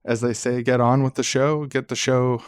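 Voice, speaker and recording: A man's voice: mid-range voice, flat delivery, normal speed, smooth, dry recording, clean studio sound. A man co-hosting a podcast, bantering casually and comedically with a wry, mock-serious delivery. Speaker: podcast co-host.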